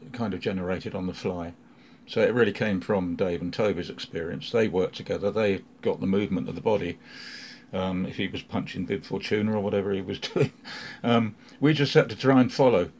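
A man's voice: lowish voice, lively intonation, normal speed, a little hiss or hum. A man, a film crew member, recalling his work in a casual interview with bursts of laughter.

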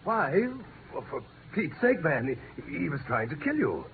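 An actor playing an uneasy, defensive doctor with emphatic speech, in 1950s radio drama style. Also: male voice, on the high side, big pitch swings, measured pace, gravelly, heavily acted. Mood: flustered. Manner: stammering, emphatic. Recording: noisy, tinny 1950s radio recording.

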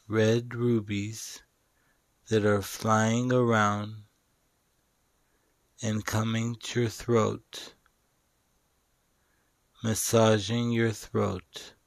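Guy doing a guided meditation, really slow and low, calm, lots of long pauses, dry sound. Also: creaky, no room sound. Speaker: meditation guide and show host.

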